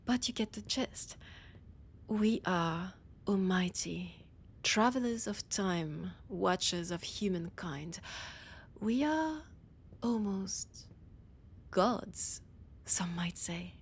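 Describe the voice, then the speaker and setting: A woman playing a haughty, annoyed vampire scholar with a French accent, speaking in a theatrical monologue with dramatic pauses. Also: mid-range voice, expressive, slight background noise. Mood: wry, condescending. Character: annoyed, haughty female vampire scholar guiding a library guest. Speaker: audio roleplay performer. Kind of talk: slow theatrical in-character monologue.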